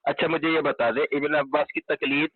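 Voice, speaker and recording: Male debater, fairly high voice, pressing and repetitive in religious argument, recorded off a dull phone line. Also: little pitch movement, a little fast, husky, no room sound. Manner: insistent, repeats pointed questions. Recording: muffled, band-limited telephone call recording.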